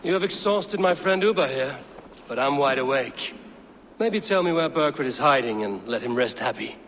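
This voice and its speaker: An actor playing a confident, taunting Viking raider in a video game, with a sardonic, firm manner. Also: male voice, medium pitch, expressive, moderate pace, a bit bright, lightly acted. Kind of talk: dramatic video game acting. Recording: faint ambient noise.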